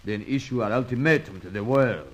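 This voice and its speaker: An actor playing a boastful, scheming villain with a superweapon in a radio serial, grandiose and gloating. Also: male voice, mid-range voice, a bit bright. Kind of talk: old-time radio adventure serial acting.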